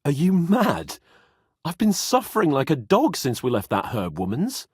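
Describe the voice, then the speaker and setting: A man's voice: a little high, wildly swooping pitch, normal speed, slightly rough, dry recording, clean studio sound, exaggerated voice. A man playing a haughty, hot-tempered young nobleman in a video game, with a British accent and a sardonic, indignant, posh manner. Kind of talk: dramatic video game voice acting.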